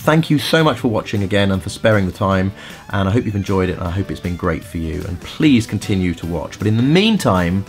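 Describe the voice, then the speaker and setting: A man's voice: sits low, expressive, a little fast, faint music behind. A middle-aged man hosting a podcast, giving casual vocal tips in a chatty way with many fillers and restarts. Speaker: podcast host and vocal coach.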